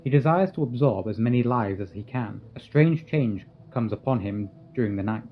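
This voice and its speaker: A man playing an earnest, formal Victorian asylum doctor in a radio drama, speaking in a measured, grave way. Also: mid-range voice, lively intonation, close to an everyday voice. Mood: grave, troubled. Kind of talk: radio drama acting and narration. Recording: dull lo-fi audio, faint noise.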